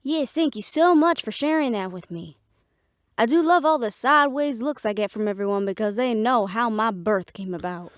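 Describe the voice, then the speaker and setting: A woman's voice: a little high, normal speed, no room sound, studio quality, close to an everyday voice. A woman playing a sarcastic, defiant young half-fae daughter in an audio drama, with a snappy manner. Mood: sarcastic, irritated. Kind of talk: dramatic audio roleplay acting.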